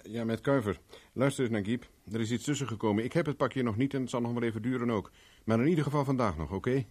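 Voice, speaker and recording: Man acting a brisk, commanding private investigator. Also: medium pitch, a little mellow, slightly rough, lightly acted. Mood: reassuring. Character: brusque, persistent male private investigator, cool under pressure. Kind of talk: 1970s Dutch radio drama acting.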